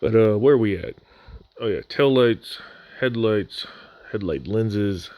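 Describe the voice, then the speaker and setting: Low-voiced male hobbyist, laid-back and wry, voice slightly worn, dry close-mic voice-over. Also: expressive, slow pace, a little mellow, no echo. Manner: casual, dry humor. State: recovering from near voice loss. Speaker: scale model builder. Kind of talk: voice-over unboxing walkthrough.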